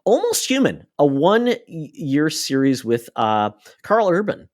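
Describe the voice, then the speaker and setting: A man's voice: fairly high pitch, sing-song, takes it slowly, a little mellow, dry recording, clean studio sound. A man co-hosting a podcast, chatting casually and hesitating often with fillers. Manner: hesitant, lots of uhs. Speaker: podcast co-host. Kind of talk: casual chat.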